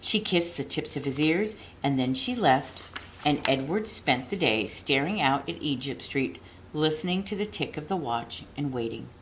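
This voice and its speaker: Lady reading a kids book out loud, kinda low voice, expressive n measured, leans on the dramatic words. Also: a little hiss or hum, rough recording. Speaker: audiobook reader. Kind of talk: expressive children's storybook narration.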